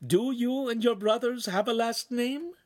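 A man's voice: very high voice, a little slow, pitched above the performer's own voice. A man voicing a gushing, motherly Italian housewife in an audiobook story, gracious and soothing. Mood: reassuring. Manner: gushing, effusive.

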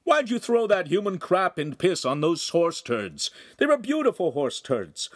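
Shrill, yelling neighbor in a fury, played by a male narrator, voice pushed very high. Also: normal speed, creaky, slightly rough, no echo, clean studio sound, full character voice. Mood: outraged. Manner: outraged, yelling. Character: angry shouting male neighbor.

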